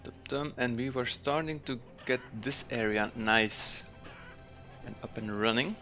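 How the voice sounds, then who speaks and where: A man narrates a city-building game in a mid-pitched, everyday voice, thinking aloud at an unhurried pace with plenty of ums and uhs. Soft game music plays faintly beneath his commentary. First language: Dutch. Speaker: gameplay commentator. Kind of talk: casual let's-play commentary.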